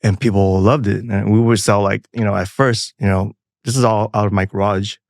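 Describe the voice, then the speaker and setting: A soft-spoken man in his forties from Taiwan, a company founder chatting as a podcast guest with many fillers. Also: on the low side, fairly even intonation, a little fast, creaky, no echo, clean studio sound. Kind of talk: casual interview chat.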